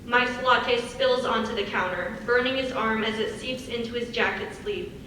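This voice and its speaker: A woman's voice: on the high side, steady tone, normal speed, bright, forceful tone. A woman reading stage directions as scripted narration. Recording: echoey hall, far from mic, faint noise.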